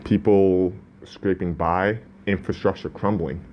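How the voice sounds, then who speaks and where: Low-voiced guy born in ghana, earnest, talks in loops with restarts, faint hum behind. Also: fairly even intonation, a little slow, muffled recording. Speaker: business owner, guest. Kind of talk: casual interview talk.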